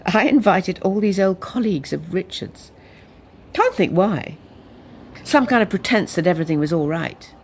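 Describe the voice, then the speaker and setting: A woman's voice: lowish voice, animated, moderate pace, darkish tone, a little hiss or hum. An actress playing a reflective woman who looks back ruefully in a radio drama. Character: reflective woman looking back ruefully on her troubled marriage. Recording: noticeable background ambience.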